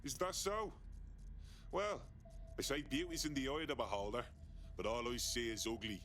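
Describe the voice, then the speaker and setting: A man's voice: medium pitch, normal speed, fairly bright tone, a little hiss or hum, natural voice. A man playing a defiant, scornful resistance hacker in a video game, speaking in a terse, sardonic way. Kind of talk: video game cutscene acting.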